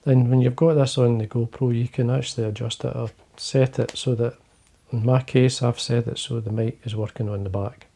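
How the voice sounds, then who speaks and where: An older Scottish man with a low, quiet voice, gravelly and mellow in tone. He chats casually to the camera from his desk, wandering through his points with plenty of fillers. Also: fairly even intonation, normal speed, few pauses. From Scotland. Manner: quiet, rambling, many fillers and restarts. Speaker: fly-fishing video host. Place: indoors, fly-tying desk.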